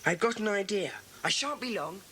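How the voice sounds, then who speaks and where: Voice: medium pitch, animated, normal speed, pushed and bright. A performer playing a confident, decisive schoolboy who leads a club of child detectives. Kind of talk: children's audiobook dramatisation. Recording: faintly noisy old cassette recording.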